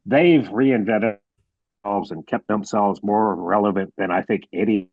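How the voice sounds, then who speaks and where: A man's voice: lowish voice, measured pace, no room sound. A man guest on a wrestling podcast, chatting candidly and often repeating words as he starts a thought. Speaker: guest, wrestling producer and manager. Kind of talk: casual podcast chat. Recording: dull, dry sound.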